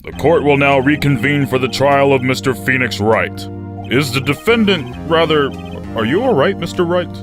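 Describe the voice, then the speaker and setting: A man playing a ponderous, easily puzzled judge, formal and deliberate, in a courtroom game fandub. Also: medium pitch, close to an everyday voice. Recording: music behind the voice.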